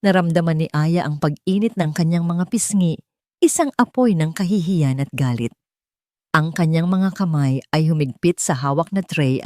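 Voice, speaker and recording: Female Filipino narrator for dramatic romance audio stories; low voice, close dry sound, theatrical phrasing. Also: warm tone, dry recording.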